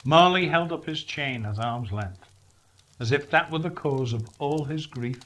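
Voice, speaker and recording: A man reading a story aloud as narrator, with deliberate, expressive phrasing and a dramatic storybook delivery. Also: on the high side. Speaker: story reader.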